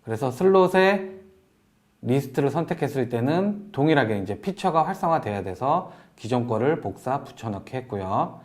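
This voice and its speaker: A man presenting a software tutorial in a polite, explanatory way, walking through each step as an instructor. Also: mid-range voice, lively intonation, moderate pace. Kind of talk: software tutorial narration over screen demo.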